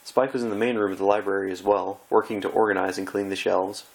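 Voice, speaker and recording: A man reading a story aloud as an amateur narrator, with a halting delivery that stumbles over the text now and then. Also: low voice, flat delivery, creaky. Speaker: amateur fan fiction reader.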